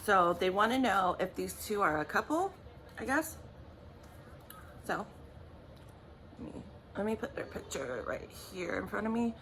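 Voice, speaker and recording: Woman w a raspy just-woke-up voice, gravelly, rambly tarot chat. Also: mid-range voice, big pitch swings, a little slow, long pauses, a bit bright, a little hiss or hum. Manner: chatty, rambling, apologetic. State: raspy morning voice. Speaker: tarot reader. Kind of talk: casual vlog talk.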